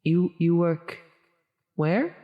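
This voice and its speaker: A theatrical female demon acted out by a woman speaks in a long, cavernous reverb. She draws her words out very slowly, with grand, formal phrasing and a halting delivery. It is styled as an ASMR audio roleplay. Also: fairly low pitch, expressive, highs cut off, exaggerated voice. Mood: flustered, concerned. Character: menacing female demon, grandiose, increasingly flustered and concerned.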